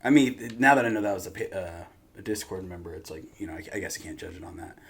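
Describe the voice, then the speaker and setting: A man's voice: sits low, animated, fast talker. A man hosting a reaction video, chatting in a slangy, rambling, joking way. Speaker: reaction show host. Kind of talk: casual reaction chat. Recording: clear background noise.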